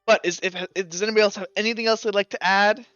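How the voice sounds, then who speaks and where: Bright, higher-voiced man running a game. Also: steady tone, racing, smooth, no room sound, muffled recording, faint music behind. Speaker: game master. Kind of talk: casual chat running a tabletop roleplaying session.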